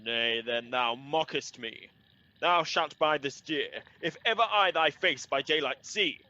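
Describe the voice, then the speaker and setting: A man's voice: a little high, measured pace, sharp tone, no room sound, dull audio. A man acting a hot-headed young lover in a Shakespearean radio play, throwing out declamatory challenges to a rival. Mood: angry.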